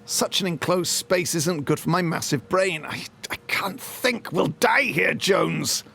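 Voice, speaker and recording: An actor playing a pompous, melodramatic detective inspector with florid, theatrical speech in a comic audio drama. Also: male voice, high voice, a little slow, creaky, sharp tone, slightly rough, heavily acted. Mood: despairing. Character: pompous, melodramatic male detective inspector with grandiose self-regard.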